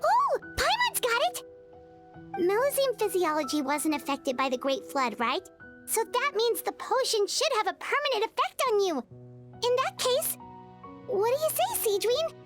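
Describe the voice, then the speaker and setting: A woman voicing a tiny, excitable, childlike fairy companion in a video game, bubbly and exclamatory. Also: very high voice, normal speed, over music. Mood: excited, scheming. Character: tiny excitable girlish fairy companion, speaks in third person. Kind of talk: English video game voice acting.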